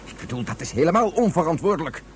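A man's voice: on the high side, sing-song, fast. An actor in a Dutch radio drama playing a stern, principled man who speaks bluntly and emphatically. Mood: disapproving.

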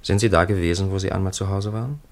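Deep-voiced, weary priest. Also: male voice, steady tone, fast, a little mellow. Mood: weary, firm. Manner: grave, restrained, questioning. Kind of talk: 1950s German radio play acting.

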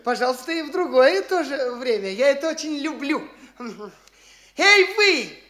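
Brief: a flighty young impostor, high voice, very lively swings, offhand and patronizing. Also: male voice, exaggerated voice. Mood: airy, cadging. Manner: breezy, condescending, quick to cadge money. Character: young male petty-official impostor, flighty and boastful. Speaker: lead actor in an audio play. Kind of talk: classic radio theatre acting.

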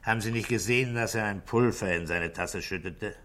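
An actor playing a shrewd middle-aged police inspector in a 1960s radio drama, speaking with deliberate, insistent questioning. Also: male voice, fairly low pitch, fairly bright tone, lightly acted. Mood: probing. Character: shrewd male police inspector, methodical, grave, probing. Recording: vintage 1960s radio studio recording.

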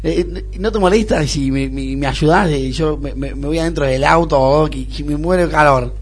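A man's voice: on the high side, moderate pace, slight background noise, lightly acted. A man playing a spoiled, snobbish young Argentine businessman in a radio comedy-drama, whining, stammering and repeating himself. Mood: exhausted, grateful. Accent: Argentine (Rioplatense).

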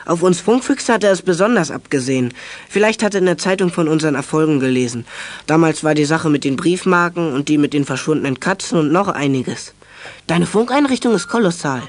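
A man's voice: fairly high pitch, animated, fairly quick, natural voice. A young male actor playing an eager teenage boy radio detective in a children's audio drama, speaking earnestly. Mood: earnest, relieved.

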